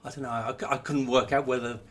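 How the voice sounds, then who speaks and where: A man's voice: mid-range voice, flat delivery, fast talker, fairly bright tone, a little hiss or hum. A man interviewing on a podcast in a reflective, conversational way, with hesitant, tentative phrasing. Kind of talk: conversational, reflective book discussion.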